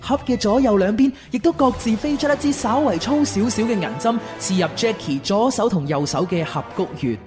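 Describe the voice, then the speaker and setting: A man's voice: high-pitched, lively intonation, fairly quick, few pauses. A man narrating a scripted radio drama as a storyteller. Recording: music clearly behind the voice.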